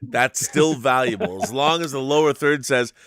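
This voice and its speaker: A man's voice: mid-range voice, moderate pace, a bit bright. A man hosting a casual late-night live stream, talking informally in a persuasive, joking way.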